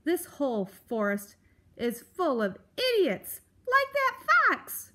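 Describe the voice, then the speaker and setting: Casting: cantankerous storybook critter, voiced by a woman, pitched high, snappish, brash, blustering. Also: very animated, takes it slowly, sharp tone, full character voice. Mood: cranky, exasperated. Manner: snarling, snapping, brash and insulting. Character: grumpy old male porcupine-like beast, blustering, crotchety. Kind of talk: children's storybook character voice.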